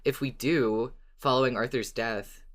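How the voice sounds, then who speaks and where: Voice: medium pitch, steady tone, unhurried. A podcast co-host in casual, conversational fan discussion.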